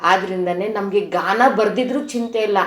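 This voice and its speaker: A woman's voice: mid-range voice, normal speed. Woman giving a devotional talk, echoey room. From Karnataka, India. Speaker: devotional speaker. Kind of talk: informal spiritual discourse explaining a Sanskrit verse.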